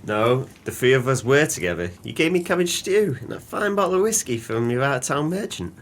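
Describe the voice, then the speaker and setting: Dreamy boozy old sailor played by a man, swooping pitch. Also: very animated, brisk, a bit bright. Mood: earnest, dreamy. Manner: earnest, whimsical. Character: drunken, dreamy, gullible impoverished former sailor. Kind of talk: audio play acting.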